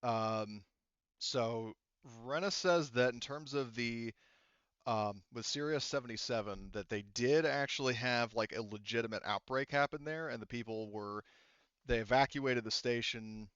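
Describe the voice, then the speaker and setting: A man improvising tabletop game narration as game master, often halting and rephrasing mid-sentence. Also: medium pitch, a bit bright, clean tone, no echo, dull audio.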